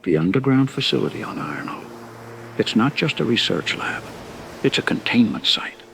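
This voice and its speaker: An actor playing a grim informant in an audio drama, speaking in grave, measured and emphatic warnings. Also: male voice, mid-range voice, very animated, gravelly, noisy background. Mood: sombre. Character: grim male informant warning of bioweapon outbreak.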